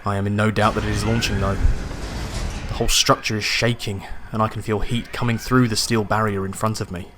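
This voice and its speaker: A man reading a first-person science fiction horror story in a measured, dramatic storytelling manner. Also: fairly low pitch, little pitch movement, noisy background. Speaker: audiobook narrator. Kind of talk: scripted first-person sci-fi horror narration. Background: atmospheric music and sound effects.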